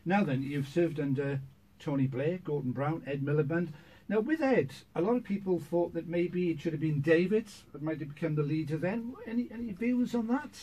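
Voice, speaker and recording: A middle-aged man hosting a local radio show from a small studio, friendly with brisk presenter patter. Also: fairly high pitch, warm tone, slightly rough, low-quality recording. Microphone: studio boom mic.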